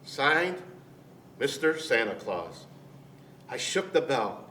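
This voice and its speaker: Slow-reading male storyteller in an echoey room. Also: medium pitch, sing-song, pushed and bright. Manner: deliberate, dramatic, addressing children directly. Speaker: storyteller dressed as Santa Claus, reading to children. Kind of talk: picture-book read-aloud. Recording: echoey room, distant mic, faint noise.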